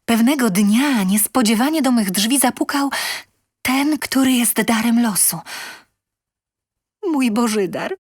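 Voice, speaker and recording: A woman's voice: on the high side, expressive, slow pace, long pauses, slightly rough, no echo, heavily acted. Woman acting a theatrical lady storyteller, close and dry. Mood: melodramatic. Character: self-satisfied, sensual lonely woman inventor telling her tale. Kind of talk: dramatic comic story narration.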